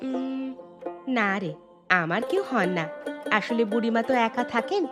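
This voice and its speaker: A woman acts a village tutor in a cartoon, caring yet mildly strict. She questions and gently chides in a high-pitched voice. Music runs behind her. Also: very animated, a little slow. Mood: concerned, chiding. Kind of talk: Bengali cartoon dubbing.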